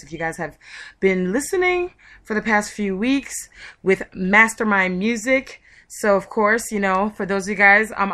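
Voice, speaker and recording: A woman hosting a radio interview in a chatty, gushing and excitable manner. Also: mid-range voice. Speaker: radio show host.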